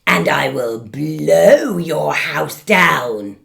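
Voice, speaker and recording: A big bad storybook wolf, hungry and sly, speaking in a low, slow growl that turns breathy at times, as a woman puts him on. His voice dips far below a normal speaking voice and drags out each word with relish. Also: lively intonation, a bit bright, heavily acted. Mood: menacing.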